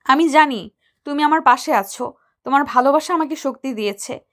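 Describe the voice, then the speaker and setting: A woman acts out a sweet young wife and new mother in a romantic audio drama. Her voice is high, and she speaks with loving, affectionate tenderness. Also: a little fast, fairly bright tone. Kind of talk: romantic audio story acting.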